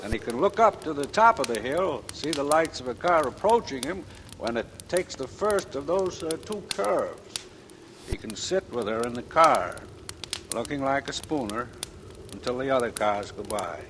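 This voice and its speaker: An actor playing a methodical, calmly certain police captain in a clipped 1940s radio style. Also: male voice, medium pitch, normal speed, lightly acted. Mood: matter-of-fact. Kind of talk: 1940s radio crime drama acting. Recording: noisy old radio recording.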